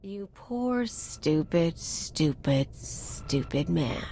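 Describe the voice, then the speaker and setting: An actress playing a vengeful, brazen anarchist bomber in a video game, with a sardonic, taunting manner. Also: female voice, low voice, expressive, drawn-out words, vocal fry, very noisy, full character voice. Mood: mocking, bitter. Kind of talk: video game cutscene acting.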